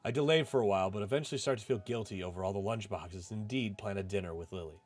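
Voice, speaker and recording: Low-voiced man narrating a story over music. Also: fast talker, a little mellow. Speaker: channel host reading a visual novel. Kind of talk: first-person story narration, read aloud.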